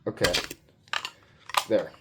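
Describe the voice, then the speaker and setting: A man hosting a casual, unscripted hobby demonstration, mumbling haltingly to himself and swearing now and then into a handheld camera. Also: noisy background. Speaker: hobbyist video host.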